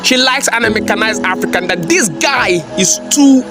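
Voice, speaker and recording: A man with a very high voice delivers a preachy reaction monologue straight to his viewers. He hammers his points home by repeating phrases again and again. Faint music plays underneath. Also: moderate pace. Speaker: commentary vlogger.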